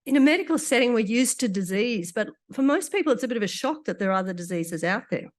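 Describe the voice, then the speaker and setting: A woman doctor gives a conference lecture in a conversational way with dry asides, her voice a bit croaky after illness. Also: a little high, expressive, quick pace. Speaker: doctor giving a conference talk.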